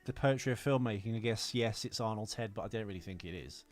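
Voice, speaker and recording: A man's voice: fairly low pitch, fast. A man co-hosting a podcast, casually discussing films. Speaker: podcast co-host.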